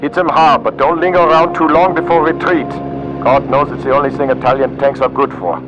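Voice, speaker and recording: An actor playing a sardonic German tank commander in a World War II game, with dry, mocking, clipped military delivery. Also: male voice, medium pitch, flat delivery, brisk, dull audio, background noise, natural voice. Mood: dry mockery. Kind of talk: video game cutscene acting.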